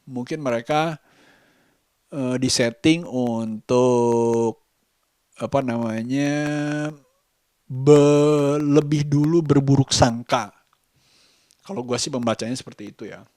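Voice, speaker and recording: Slow-talking Jakarta man, halting vlog delivery. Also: mid-range voice, a little mellow, dry recording, clean studio sound. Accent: Jakarta Indonesian. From Indonesia. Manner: halting, hesitant, with long pauses between phrases. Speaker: vlogger. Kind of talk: personal vlog storytelling to camera.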